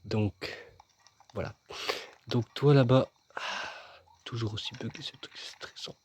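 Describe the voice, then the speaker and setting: A man's voice: medium pitch, fairly even intonation, unhurried, a little mellow, husky. A man casually commenting as he plays a video game, joking and chatting with himself and his viewers. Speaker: gaming video host. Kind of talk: casual let's-play commentary.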